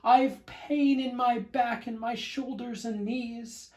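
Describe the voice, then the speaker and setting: A man's voice: very high-pitched, steady tone, takes it slowly, a little mellow, reverberant, voice pushed up. A man voicing a plain little turtle from a storybook, a meek, polite and suffering subject who pleads deferentially. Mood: meek pleading.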